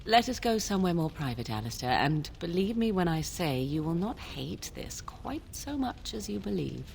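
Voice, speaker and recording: A woman's voice: on the low side, very animated, gravelly, darkish tone, a little hiss or hum. A woman voicing a cool, sly young witch in a video game, with haughty, archaic phrasing. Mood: sly, composed. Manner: archaic diction, haughty, deliberate. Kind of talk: video game dialogue acting.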